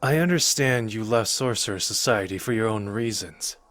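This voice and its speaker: A man's voice: lowish voice, moderate pace, fairly bright tone, lightly acted. A man playing a cocky, playful young sorcerer who is protective underneath, talking casually and intimately to the listener in an ASMR roleplay. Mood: earnest, persuading. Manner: casual, teasing, intimate and conversational.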